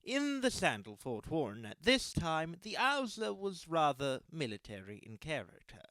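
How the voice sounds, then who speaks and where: A man's voice: on the high side, sing-song, moderate pace, bright, forceful tone, no echo. A man narrating a scripted story as an explanatory storyteller. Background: faint music.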